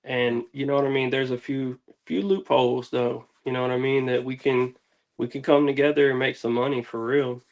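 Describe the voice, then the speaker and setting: A man's voice: medium pitch, fairly even intonation, moderate pace. A man with a mid-range voice chats as a guest on a music show. He is laid-back and slangy, rambling easily from one point to the next. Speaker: interview guest, music artist. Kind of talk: casual chat.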